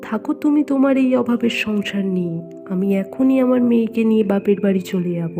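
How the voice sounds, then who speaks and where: A woman's voice: fairly high pitch, fairly quick, warm tone, faint music behind, natural voice. A woman voicing a worried poor farmer's wife and mother in a cartoon, plaintive and reproachful. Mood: angry.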